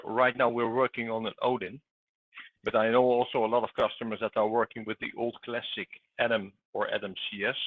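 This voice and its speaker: A man with a fairly low voice gives a technical explanation as a trainer speaking to customers. He comes through a narrow, tinny, phone-like connection. The sound is dry, without room echo. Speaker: webinar co-presenter, technical trainer.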